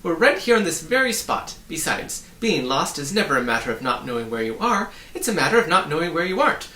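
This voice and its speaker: A man reads as an assured storybook boy, lifted and animated. He sounds like an emphatic know-it-all, recorded in a roomy space. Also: on the high side, fairly quick, voice pushed up. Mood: assured, insistent. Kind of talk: storybook character reading. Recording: roomy, distant mic, faint noise.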